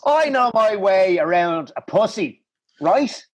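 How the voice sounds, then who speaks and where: A man's voice: high voice, big pitch swings, takes it slowly, full character voice. A man playing a shifty, evasive small-time businessman on a comedy call-in. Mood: sheepish. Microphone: video call.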